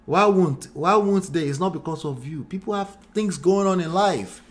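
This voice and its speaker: A man from Nigeria, co-hosting a casual relationship chat in an animated, blunt way, repeating phrases for emphasis. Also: high voice, normal speed. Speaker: talk show co-host.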